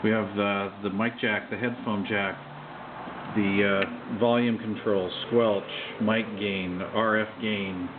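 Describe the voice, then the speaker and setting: A man giving a casual, unscripted walkthrough of his hobby gear, halting often and tossing in offhand asides. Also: on the low side, steady tone. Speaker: CB radio hobbyist presenting his own base station. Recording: muffled phone-like sound, loud background noise.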